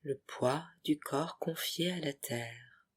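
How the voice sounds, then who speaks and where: Casting: meditation guide, mid-pitched voice, breathy and hushed, soothing short phrases for deep relaxation. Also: slow pace. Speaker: yoga nidra guide.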